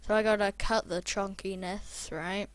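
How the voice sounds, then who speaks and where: A young YouTuber with a croaky voice, rambling casually and chatting through a building video. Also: medium pitch, steady tone, normal speed, background noise. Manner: rambling, chatty, self-deprecating. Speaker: Minecraft YouTuber. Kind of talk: casual gameplay commentary.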